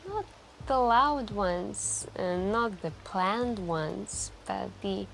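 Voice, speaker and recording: A woman playing a wistful, soft-spoken stranger in a late-night café, confiding hesitantly in an intimate ASMR roleplay. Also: medium pitch, lively intonation, vocal fry, slight background noise, heavily acted. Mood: reflective. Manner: hesitant, musing, confiding, with gentle pauses. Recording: continuous quiet background music. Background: quiet background music.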